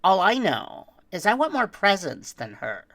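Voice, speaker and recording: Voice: medium pitch, wildly swooping pitch, a little slow, vocal fry, fairly bright tone, no echo, voice pushed up, heavily acted. A performer voicing a greedy, spoiled child who petulantly demands more and better presents in a storybook tale.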